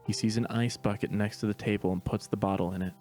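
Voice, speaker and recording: A man narrating stage directions in a steady, matter-of-fact reading voice. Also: fairly low pitch, fast talker, faint music behind. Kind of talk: plain read-aloud narration.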